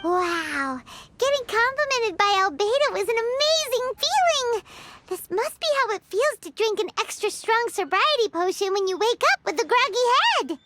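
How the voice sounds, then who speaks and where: Squeaky high excitable little fairy. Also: female voice, normal speed, very noisy. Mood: delighted, admiring. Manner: speaks of self in third person. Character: small excitable childlike fairy companion, cheerful and chatty. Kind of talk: animated video game character acting. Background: music.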